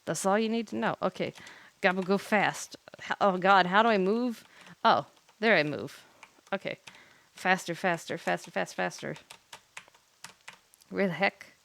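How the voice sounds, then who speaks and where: A woman's voice: on the low side, a little slow, gravelly, long pauses, fairly bright tone. A giggly, tipsy woman chatting casually as she streams a game, breaking off to react. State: tipsy, drinking wine. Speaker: streamer, virtual YouTuber playing a game live. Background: faint background music.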